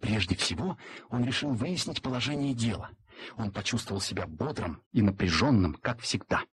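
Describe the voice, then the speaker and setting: A man narrating a literary story dramatically, with expressive theatrical delivery and deliberate pauses. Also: on the low side, slight background noise.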